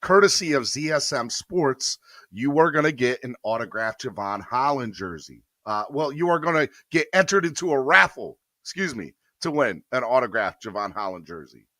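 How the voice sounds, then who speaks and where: A man hosting a morning sports podcast, slightly hoarse, talking upbeat and straight to his viewers. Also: mid-range voice, big pitch swings, moderate pace, pushed and bright, dry recording. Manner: upbeat, addresses viewers directly. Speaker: podcast host. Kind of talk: morning sports show intro.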